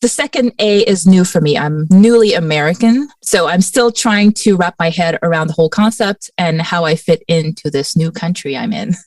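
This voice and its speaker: A woman from Mauritius co-hosting a podcast, asking questions in a measured, friendly way. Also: fairly low pitch, a little mellow, no echo. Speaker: podcast co-host. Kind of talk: casual conversational podcast chat. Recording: dry and slightly distant.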